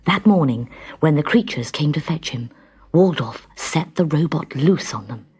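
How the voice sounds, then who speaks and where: A woman narrating a scripted children's story in a dramatic, expressive manner. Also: low voice, normal speed, husky. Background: music.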